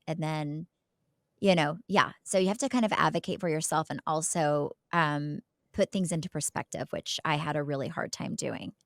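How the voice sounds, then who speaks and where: A woman's voice: fairly low pitch, dry recording, clean studio sound. A woman co-hosting a podcast in casual, candid conversation. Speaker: podcast co-host.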